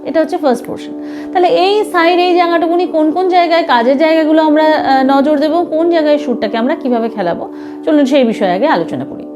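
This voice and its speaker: A woman's voice: high voice, fast talker, over music. A woman giving an instructional singing lesson to viewers, explaining points in a teacher's manner. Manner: explanatory, with short hesitations and sung demonstrations. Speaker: vocal music teacher.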